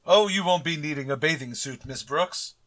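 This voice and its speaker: A man's voice: fairly high pitch, moderate pace, fairly bright tone, natural voice. A man playing an earnest, oblivious biology teacher in an old radio sitcom style. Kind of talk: 1940s radio sitcom acting.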